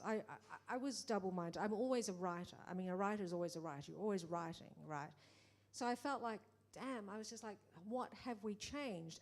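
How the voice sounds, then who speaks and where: A middle-aged woman producer speaking in a conversation, animated, acting out quoted exchanges, with many restarts. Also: medium pitch. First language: English. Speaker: film producer. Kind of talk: panel conversation.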